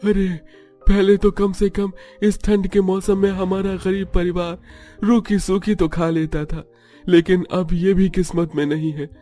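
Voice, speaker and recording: A man's voice: high-pitched, little pitch movement, unhurried, mellow, soft background music. A man voicing a humble, downtrodden palace servant in a cartoon, pleading and plaintive. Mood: despairing. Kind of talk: cartoon voice acting.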